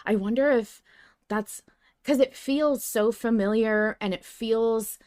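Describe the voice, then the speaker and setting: A woman's voice: on the high side, slow, darkish tone, clear, resonant tone. A woman co-hosting a podcast, chatting casually and effusively about fiction, with some swearing. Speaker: podcast co-host. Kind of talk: casual fan discussion chat.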